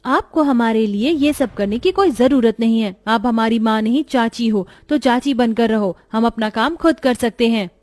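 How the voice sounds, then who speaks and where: A woman's voice: on the high side, normal speed, hardly any pauses, a little mellow, faint music behind, close to an everyday voice. A woman voicing a rude, resentful teenage girl in a cartoon story. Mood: defiant. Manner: curt, defiant.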